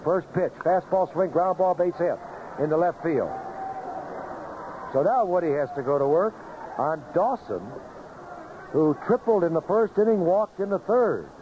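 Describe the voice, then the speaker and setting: A man's voice: sits high, expressive, unhurried, long pauses, highs cut off, background noise. A man calling baseball play-by-play live on a vintage radio broadcast. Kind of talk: live radio sports commentary. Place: outdoor ballpark broadcast booth.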